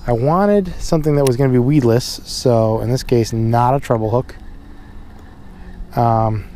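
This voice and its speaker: A man's voice: mid-range voice, a little slow, warm tone. Man, thirties, casual fishing vlogger, chest cam, faint wind hiss outdoors. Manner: chatty, talks to camera while fishing. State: cold, runny nose. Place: windy pond shore outdoors. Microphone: action camera on chest harness.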